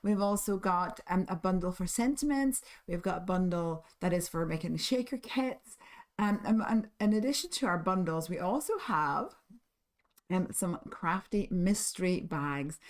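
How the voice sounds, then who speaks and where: A woman hosting a live crafting stream, husky and hoarse from losing her voice, chatting in a friendly way with many ums and small laughs. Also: on the low side, unhurried. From United Kingdom. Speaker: craft stream host and shop owner.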